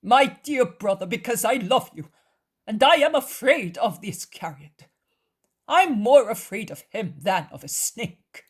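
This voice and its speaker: A woman's voice: a little high, big pitch swings, measured pace, slightly rough, pitched above the performer's own voice, exaggerated voice. A woman voicing a worried, vehement, loyal man in a story, urgent and emphatic. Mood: anxious.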